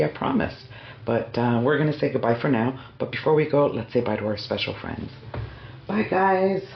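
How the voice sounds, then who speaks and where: A man reading a children's storybook expressively, with dramatic emphasis and drawn-out words. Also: medium pitch, gravelly, slight background noise. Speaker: storyteller, host of a read-aloud show. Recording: roomy, muffled sound with faint music.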